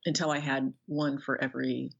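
A woman's voice: rumbling low, level tone, vocal fry, breathy edge. Older woman, slightly dull audio, reflective. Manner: thoughtful, pausing, searching for words. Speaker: author, interview guest. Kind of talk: reflective conversational interview.

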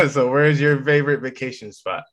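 A man's voice: medium pitch, moderate pace, a bit bright. An American man hosting a show, speaking informally in a casual, chatty interview style. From Massachusetts, United States. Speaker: show host.

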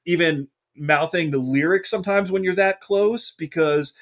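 A man's voice: fairly high pitch, moderate pace, a bit bright. A man co-hosting a podcast, telling a long story in a casual, rambling way and often correcting himself mid-sentence. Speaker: podcast co-host.

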